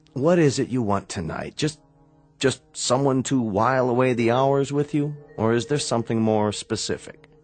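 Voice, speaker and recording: Actor as blunt, exasperated younger brother. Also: male voice, medium pitch, natural voice. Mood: weary, firm. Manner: emphatic, articulate, sardonic. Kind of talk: radio drama acting.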